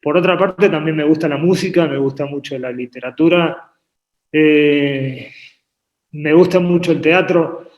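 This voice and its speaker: A man from Uruguay raised in Argentina, talking about his work as a painter on a video call, often pausing and repeating words as he thinks. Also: on the high side. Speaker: painter and artist guest. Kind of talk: relaxed interview conversation. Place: home painting studio. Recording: echoey room on a video call.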